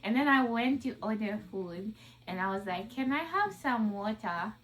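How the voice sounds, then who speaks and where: A woman's voice: a little high, normal speed, low-quality recording. A woman with a Zambian accent chatting with a friend and animatedly telling stories. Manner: animated storyteller. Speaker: vlogger. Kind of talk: casual chat with a friend.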